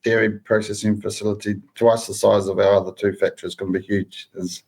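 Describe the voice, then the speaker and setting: A man's voice: lowish voice, flat delivery, a little fast, gravelly, a little mellow. A middle-aged man with a New Zealand accent, a guest talking through his career in a matter-of-fact way. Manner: matter-of-fact, lists things steadily. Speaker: guest, food safety professional. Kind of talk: casual interview.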